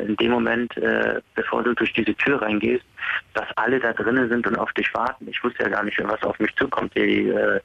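A man's voice: lowish voice, gravelly, husky, no echo. A man from the Netherlands, a prison inmate on day release, talking hesitantly in a casual interview with many fillers and restarts. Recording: dull lo-fi sound.

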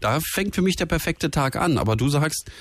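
Guy hosting a radio phone-in, super lively pitch, dry close studio sound, chatty and informal. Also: a little fast, no room sound. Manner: informal, interjecting, animated. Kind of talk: casual live call-in talk.

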